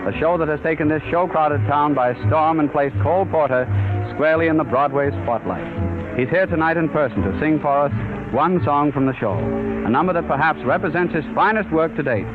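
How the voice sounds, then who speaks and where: A man's voice: on the high side, brisk. Old 1930s radio guy introducing an act, formal, muffled recording, music behind him. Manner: formal, showman-like announcing. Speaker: radio show host.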